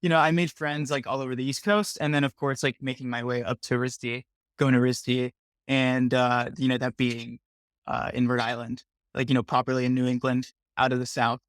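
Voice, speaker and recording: A man's voice: mid-range voice, flat delivery, moderate pace, no echo, clean studio sound. Rambling young man, lots of "like". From Colombia. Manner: rambling, heavy use of "like". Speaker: guest, industrial designer. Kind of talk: casual video chat.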